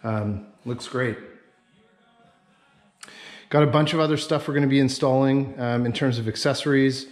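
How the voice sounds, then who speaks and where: A man giving a casual, enthusiastic vlog tour in a garage, pausing often as he finds his words. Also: medium pitch, steady tone. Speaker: snowmobile vlogger. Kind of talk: casual walkaround tour to camera. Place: residential garage with concrete floor.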